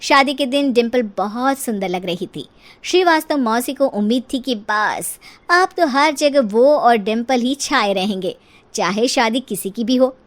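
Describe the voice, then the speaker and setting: A woman narrator reading a scripted comic story for an animated video. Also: a little high, measured pace.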